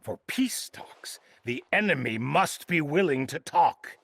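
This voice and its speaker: Gruff, gravelly sect elder. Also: male voice, fairly high pitch, expressive, unhurried, a bit bright, no echo, exaggerated voice. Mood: sceptical, scornful. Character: gruff, proud, sceptical male martial-sect elder.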